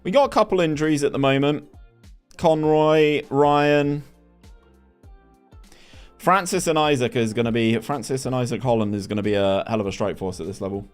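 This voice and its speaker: A man's voice: mid-range voice, normal speed, pauses often, smooth, faint music behind. A young man, chatting casually on a stream and reading chat aloud with lots of restarts. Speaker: gaming streamer.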